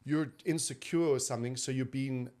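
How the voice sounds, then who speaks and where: A South African man talks casually on a comedy podcast. His voice sits at a middle pitch. He swears freely and breaks into laughter often. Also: steady tone, brisk, a little mellow. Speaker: comedian guest.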